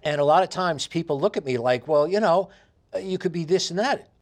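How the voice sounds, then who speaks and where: A man in his fifties with a heavy New York accent, telling his life story in an interview with animated emphasis. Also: a little high, a little fast. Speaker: interview guest. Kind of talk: candid conversational interview.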